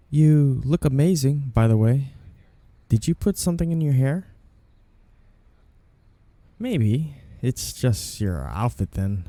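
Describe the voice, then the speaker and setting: A man's voice: medium pitch, warm tone, no echo. A man playing a flirty, two-faced mafia boss in an ASMR period roleplay, with coy, teasing lines and sugary endearments.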